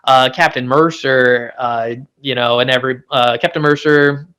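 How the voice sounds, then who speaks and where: A man's voice: medium pitch, steady tone, moderate pace, bright, forceful tone, clean tone. A man chatting casually as he reviews as a show co-host, in a rambling, conversational and emphatic way. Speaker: pop-culture show co-host.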